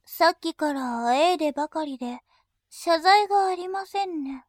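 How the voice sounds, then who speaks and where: A woman voicing a composed female bank clerk who speaks in very formal, deliberate language and turns dominating and scornful. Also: very high-pitched, animated, no room sound, studio quality. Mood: polite teasing. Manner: very formal polite speech, slow and deliberate. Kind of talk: adult audio drama acting.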